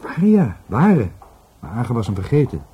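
An actor playing an easygoing, philosophical witness in a radio drama, musing in colloquial speech. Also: male voice, medium pitch, wildly swooping pitch, fairly quick, breathy edge, muffled recording, heavily acted. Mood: reflective. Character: philosophical, easygoing male witness, friend of the victim.

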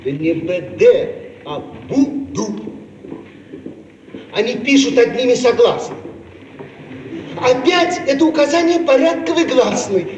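An actor playing an excited, insistent inventor in a mid-century Soviet radio comedy, explaining things with emphatic, didactic delivery. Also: male voice, very high-pitched, a little slow, long pauses. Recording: muffled, distant, echoey, hissy radio-play tape.

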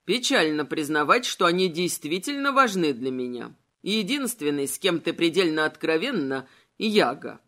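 A cold male immortal villain from a fantasy audiobook, acted out by a woman. The voice drops low and moves with slow, insinuating mockery. Every phrase is measured and manipulative. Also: very animated, fairly bright tone. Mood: cool, calculating. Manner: insinuating, unhurried, mocking.

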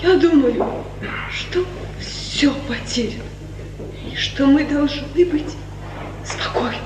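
Proud, anguished young heroine, very high voice, measured tragic delivery, vintage radio with clear noise. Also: female voice. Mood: anxious, subdued. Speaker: actress. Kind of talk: 1950s Soviet radio tragedy acting. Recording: noisy, echoey 1950s radio recording.

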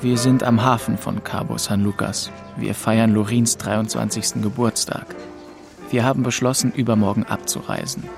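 A man's voice: lowish voice, steady tone, moderate pace, gravelly. A man acting a melancholy, drifting twenty-two-year-old who narrates his travels introspectively in a literary radio drama. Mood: brooding, reflective. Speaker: narrator and lead actor. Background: music under the voice.

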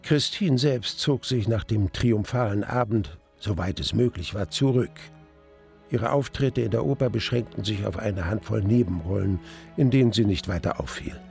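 A man playing a wistful young gentleman who narrates his memories in a measured, reflective way in a radio drama. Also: on the low side, gravelly. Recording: music under the voice.

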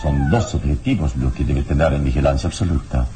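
An actor playing a calm, commanding heroic adventurer in a radio serial, speaking in a measured, formal, authoritative way. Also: male voice, a bass voice, noisy background. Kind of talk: radio serial drama acting.

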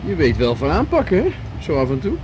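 A man's voice: a little high, huge pitch swings, quick pace, noisy background. An actor playing a boyfriend in a radio drama, dry and matter-of-fact in his remarks. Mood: teasing. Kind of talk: 1980s radio drama acting.

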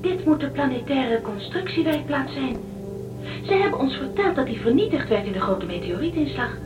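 An actress playing a plucky, excitable young woman fleeing through a spaceship in a Dutch radio drama, eager and given to exclamations. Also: high voice, moderate pace, far from the mic, over music, low-quality recording. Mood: awed, eager.

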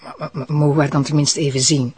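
A woman's voice: sits low, little pitch movement, fast, darkish tone, slightly rough. Earnest Flemish woman prosecutor. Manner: polite, probing. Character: earnest, assertive female deputy prosecutor. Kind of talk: radio drama acting.

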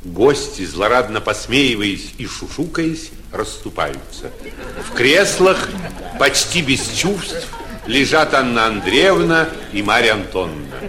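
An actor serving as narrator, reading stage directions in a dramatic 1940s radio play. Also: male voice, mid-range voice, big pitch swings, takes it slowly, crowd noise. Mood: measured. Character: measured male storyteller of a stage play.

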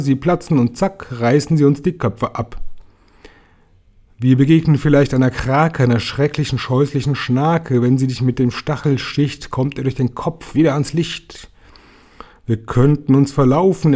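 A man voicing an excitable, boastful male centipede in a children's story, with gleeful, sing-song delivery. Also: mid-range voice, lightly acted.

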